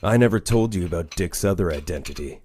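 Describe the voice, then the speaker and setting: A man playing a grim, stern vigilante mentor in a comic dub, brooding and terse, with measured delivery. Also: low voice, darkish tone, a little hiss or hum, natural voice. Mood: stern, reserved. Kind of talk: comic dub narration and dialogue. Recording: music behind the voice.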